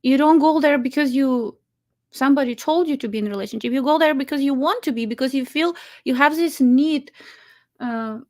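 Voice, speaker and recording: A woman's voice: sits high, a little fast, a little mellow. A woman from Ukraine with a Ukrainian accent, a matchmaker talking casually with many fillers, restarts and laughs. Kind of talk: casual chat.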